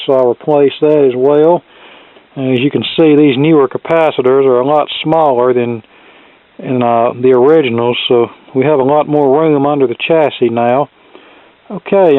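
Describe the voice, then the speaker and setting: Tinny, phone-like recording of a folksy man. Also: fairly high pitch. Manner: plainspoken, folksy turns of phrase. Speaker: hobbyist electronics repairman presenting a video. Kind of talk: hands-on repair demonstration, casual explanation. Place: workshop. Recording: phone-like narrow, tinny sound, close and dry.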